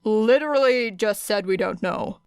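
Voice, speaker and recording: A woman voicing a gruff, unyielding male teacher in an audiobook, blunt and dry in manner. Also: mid-range voice, moderate pace, a little mellow, no room sound, lightly acted. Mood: grumbling. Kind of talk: audiobook character acting.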